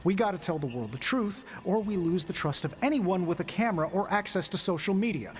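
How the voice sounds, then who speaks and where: An actor playing a sarcastic, quick-witted male tech genius who talks in a lively, wisecracking way. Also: high-pitched. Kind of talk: video game cutscene acting.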